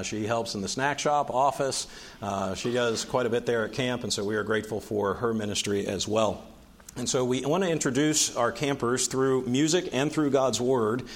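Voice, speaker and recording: A man leading a church service as a camp director, speaking in an earnest, measured, pastoral way. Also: medium pitch. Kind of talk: church announcement and short devotional.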